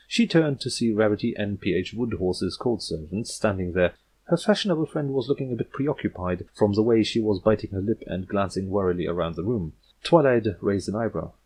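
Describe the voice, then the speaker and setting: A man's voice: fairly low pitch, a little mellow, husky. A man reading scripted fiction aloud as an audiobook narrator.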